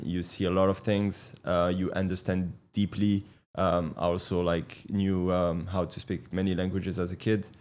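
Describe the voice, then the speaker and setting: A man's voice: deep voice, darkish tone. A young man with a French accent, a guest on an interview show, talking earnestly with many fillers and repeated words. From France. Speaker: guest, athlete and coach. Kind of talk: casual interview talk.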